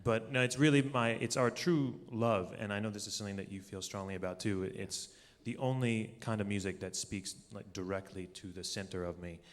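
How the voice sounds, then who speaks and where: Earnest lower-voiced man in a reverberant hall. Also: steady tone, a little fast. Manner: earnest, conversational. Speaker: musician, interview guest. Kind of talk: informal interview talk. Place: stage of a live radio show. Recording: live hall with long reverb.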